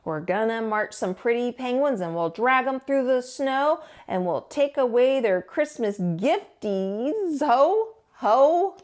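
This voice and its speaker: A woman's voice: fairly high pitch, expressive, slow pace, a little mellow. Woman as a tough hunter, growly, menacing, rhythmic chant, storybook villain.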